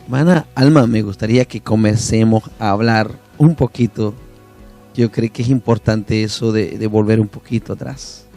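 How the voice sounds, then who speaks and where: A man's voice: lowish voice, fairly even intonation, moderate pace, dark tone, faint music behind. A man hosting a church interview program, deferential and effusive toward his guest. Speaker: interview host. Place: church media studio.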